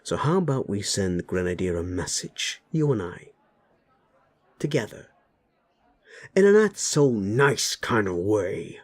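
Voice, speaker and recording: A man voicing a brash werewolf bully boss in an audio roleplay, gravelly, swaggering and blunt, spoiling for a fight. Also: fairly low pitch, very animated, a little slow, long pauses. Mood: angry, protective.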